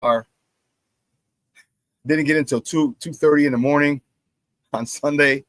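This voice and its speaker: Hoarse middle-aged man, dry close sound. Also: on the high side, measured pace, dry recording. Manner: animated storyteller who repeats phrases and laughs at his own stories. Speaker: sports talk show host. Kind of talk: casual sports talk monologue.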